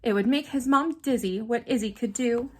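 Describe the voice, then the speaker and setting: A woman reading a children's story aloud in an expressive, dramatic manner, with simple asides for young listeners. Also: on the high side, normal speed. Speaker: storybook reader.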